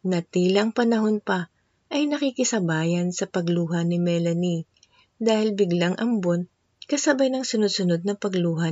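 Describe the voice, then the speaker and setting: A woman's voice: fairly low pitch, darkish tone, no echo, muffled recording. A woman storyteller reading a scripted romance narration.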